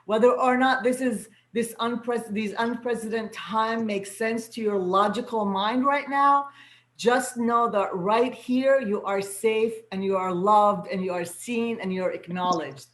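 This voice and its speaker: A woman whose first language is Arabic, hosting an online spiritual gathering as a soul guide and coach, with a gentle, affirming manner and words repeated for emphasis. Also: fairly high pitch, a little slow, reverberant. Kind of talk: inspirational welcome to an online gathering. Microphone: video call.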